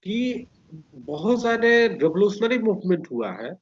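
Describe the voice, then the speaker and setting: A man explaining history in conversation as an interview guest, speaking haltingly and repeating his phrases. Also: sits high, sing-song, a little hiss or hum. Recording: muffled lo-fi recording.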